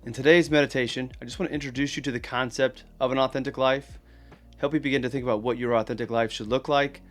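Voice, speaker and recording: Male meditation guide, medium pitch, gentle instruction, unhurried pauses, encouraging, plain-spoken, faint guitar music behind.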